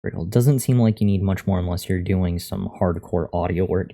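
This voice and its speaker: A man chatting casually on a live coding stream, thinking aloud in a monotone voice into a USB condenser microphone. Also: sits low, normal speed, creaky. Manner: thinking aloud, reading out and answering chat. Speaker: live streamer learning to code. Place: untreated room at a computer. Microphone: USB condenser microphone at a desk.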